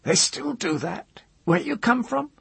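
A man voicing a bitter, half-mad old woman from a fantasy storybook, hoarse and screechy, with archaic, intense speech. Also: extremely high pitch, very animated, unhurried, fairly bright tone, dry recording, pitched above the performer's own voice, exaggerated voice. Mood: suspicious, bitter. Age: older.

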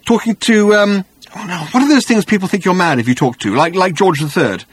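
Man voicing a high-pitched, flustered, bewildered fellow. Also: sing-song, brisk, slightly rough, heavily acted. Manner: hesitant, stammering, repeats words. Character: bewildered, emotional, rambling stranded Englishman in a dressing gown. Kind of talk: audiobook character voice.

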